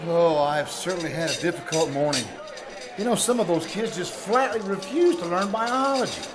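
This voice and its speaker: A man's voice: high voice, animated, moderate pace, music in the background. A man playing a mild, polite, oblivious biology teacher in an old-time radio comedy. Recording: live theater with audience noise.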